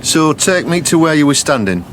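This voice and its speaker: An actor playing a thoughtful male memory specialist who talks calmly and wryly. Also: fairly high pitch, normal speed. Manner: calm, understated, wry. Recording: radio drama with background noise.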